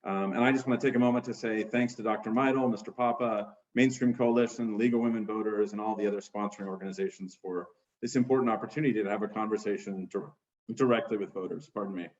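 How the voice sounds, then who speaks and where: A middle-aged man running for school board, giving a measured, organized opening statement over a video call. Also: lowish voice, steady tone, echoey room, highs cut off. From United States.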